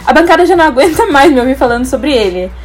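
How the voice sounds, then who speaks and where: A woman's voice: high-pitched, sing-song, fast talker, slight background noise. A young woman with a Brazilian accent hosting a casual podcast in an enthusiastic, slangy way. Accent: Brazilian Portuguese. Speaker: podcast host.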